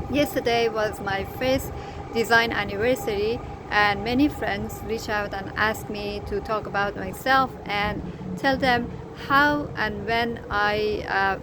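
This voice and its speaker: A middle-aged woman with a Persian accent, whose first language is Farsi, telling her story as a crochet designer in a casual, hesitant way, with many fillers and restarts. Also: medium pitch. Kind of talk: casual personal story to camera. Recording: noticeable background noise.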